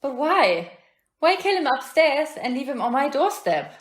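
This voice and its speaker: An indignant young female hotel guest acted by a woman in an audio mystery play. Her voice sits fairly high and moves up and down a lot, with a defensive, sarcastic edge. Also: very animated, unhurried. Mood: wary, questioning. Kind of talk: amateur audio play acting.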